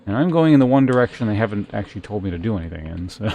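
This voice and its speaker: A man's voice: lowish voice, very animated, very fast, warm tone, slight background noise. A man giving casual live game commentary as a streamer, thinking aloud and muttering to himself as he plays.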